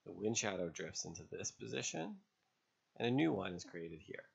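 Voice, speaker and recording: A man narrating an instructional lesson as an instructor, explaining step by step in a measured way with pauses. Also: low voice, big pitch swings, creaky, breathy edge, muffled recording. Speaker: sailing instructor. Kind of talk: instructional narration over drawn diagrams.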